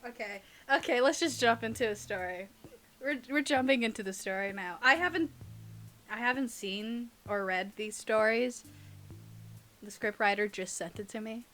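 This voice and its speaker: A young woman with a fairly high voice talks casually and playfully, with a teasing, giggly streak. She chats like a podcast co-host reading to friends. There is light background noise with small clicks. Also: normal speed, fairly bright tone. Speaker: podcast co-host and audio roleplay creator.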